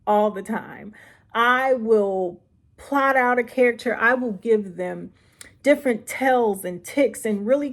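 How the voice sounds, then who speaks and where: A woman writer giving informal instructional advice, speaking in an emphatic, deliberate way with stressed repeats. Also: mid-range voice. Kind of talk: informal instructional talk to camera.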